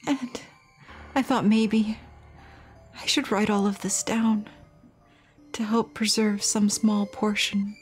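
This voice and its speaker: A woman voicing a starving, guilt-ridden schoolchild reading out a confession in a horror game, deliberate and sorrowful. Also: mid-range voice, little pitch movement, soft background music, close to an everyday voice. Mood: tearful, guilty.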